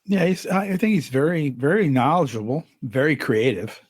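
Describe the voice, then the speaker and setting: A man's voice: a little high, expressive, normal speed, slightly rough, no echo, studio quality. A man chatting casually as a guest in an interview. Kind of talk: casual chat.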